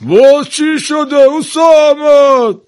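Man putting on a high, placating workshop boss. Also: a little mellow. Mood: strained patience. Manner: emphatic, placating, exclamatory. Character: workshop master craftsman straining to stay patient and polite.

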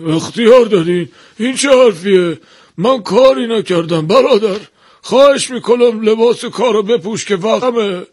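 Man putting on a high, placating workshop boss. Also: a little mellow. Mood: courteous. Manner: emphatic, placating, exclamatory. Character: workshop master craftsman straining to stay patient and polite.

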